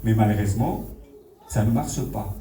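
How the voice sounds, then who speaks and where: A man's voice: lowish voice, expressive, moderate pace, warm tone. A middle-aged man with a Congolese French accent gives an informal public speech as founder of a community association, telling anecdotes and addressing his listeners directly. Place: party hall, speaking to a gathering. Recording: echoey hall, distant mic, audience noise.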